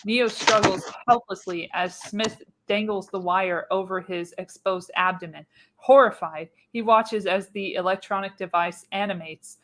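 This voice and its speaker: Lady reading radio play narration, mid pitch, dramatic stage directions. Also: fairly bright tone. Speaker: narrator, show host.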